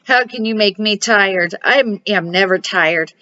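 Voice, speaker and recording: A woman's voice: mid-range voice, a little slow, sharp tone, lo-fi sound. A woman voicing a jolly, playful old grandfather bear in a storybook, hearty and a little boastful.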